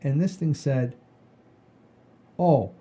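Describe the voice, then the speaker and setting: A man's voice: mid-range voice, slow, mellow. A man hosting a comedy podcast, chatting casually and telling anecdotes in a rambling, repetitive, folksy way. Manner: rambling, repeats himself, folksy "I says".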